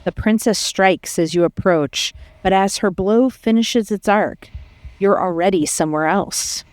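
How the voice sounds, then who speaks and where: A woman playing a solemn, poetic storyteller, reading scripted game narration in a measured way. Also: medium pitch, a little mellow, dry recording, natural voice. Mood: solemn intensity.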